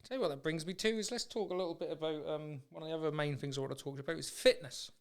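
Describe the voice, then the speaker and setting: A man's voice: on the high side, racing, vocal fry. A man hosting a podcast in casual chat, with an informal, matey way of talking. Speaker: podcast host.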